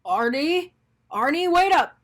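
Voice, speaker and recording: A woman's voice: sits high, expressive, slow pace, voice pushed up. A woman voicing a fussy, polite and kindly man in a picture-book story, speaking in a matter-of-fact way. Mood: excited. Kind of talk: animated picture-book character reading.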